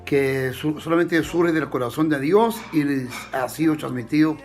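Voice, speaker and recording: A man born in the United States, a missionary pastor giving his testimony in an interview in an earnest, halting way with many restarts. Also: fairly high pitch, darkish tone, faint music behind.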